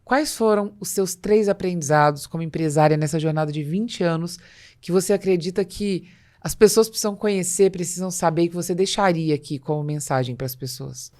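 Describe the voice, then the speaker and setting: A woman's voice: fairly low pitch, a little fast, mellow. A Brazilian woman hosting a business podcast, explaining points with examples and rhetorical questions. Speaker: podcast host.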